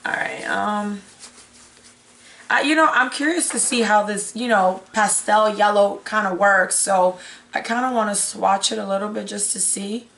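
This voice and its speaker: A young woman with a raspy, hoarse voice that is giving out, chatting casually as a beauty vlogger and thinking aloud as she goes. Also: medium pitch, unhurried, a bit bright. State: hoarse, losing her voice. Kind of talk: casual unboxing chat. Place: small indoor room with curtain backdrop.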